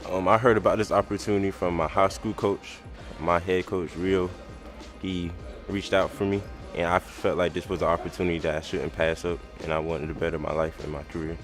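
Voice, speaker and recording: A young man from South Carolina, a college freshman, answering interview questions in a steady, plain way. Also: low-pitched, fairly quick. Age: young adult. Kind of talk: recorded player interview. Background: music bed.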